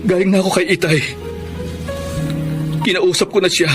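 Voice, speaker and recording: A man's voice: high-pitched, level tone, moderate pace, over music, lightly acted. An actor playing a humble, remorseful husband begging his wife to come back in a radio drama. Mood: pleading, remorseful. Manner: pleading, humble.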